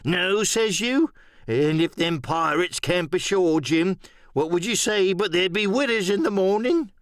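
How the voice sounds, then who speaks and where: A man voicing a wily, anxious, half-crazed old marooned pirate with drawn-out, rambling sailor talk, in an audiobook play. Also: sits high, expressive, dry recording, studio quality, voice pushed up. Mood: anxious, pleading. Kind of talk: audiobook radio play acting.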